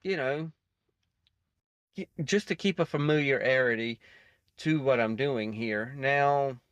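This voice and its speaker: A man's voice: medium pitch, takes it slowly, clear, resonant tone, no room sound, lo-fi sound. A man giving casual, off-the-cuff reaction commentary in a rambling, self-interrupting way. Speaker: reaction video host.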